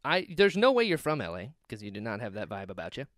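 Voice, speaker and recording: A man's voice: lowish voice, wildly swooping pitch, fast talker, a bit bright, dry recording. A man from North Carolina who grew up in Florida, hosting a podcast in a playful, laughing chat. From North Carolina, raised in Florida. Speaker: podcast host. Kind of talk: casual interview chat. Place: at home in Florida.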